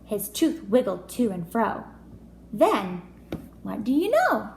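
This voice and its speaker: A woman's voice: medium pitch, takes it slowly, creaky. A woman reading a storybook aloud to young children as a teacher, expressively, with chatty asides and questions. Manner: expressive, child-directed, asking questions and commenting. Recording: echoey room with faint noise.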